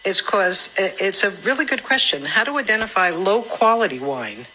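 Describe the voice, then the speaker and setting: A woman's voice: mid-range voice, big pitch swings, normal speed, pushed and bright, slight background noise, poor audio. A woman co-hosting a morning radio show, chatting casually and jokingly as she interviews a guest. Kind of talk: casual live interview chat.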